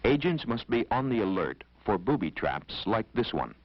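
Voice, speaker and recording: American guy narrating an old 70s training film, formal and measured, voice moves around a lot, kinda dull muffled sound. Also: on the low side, very animated, no echo. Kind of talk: scripted instructional narration.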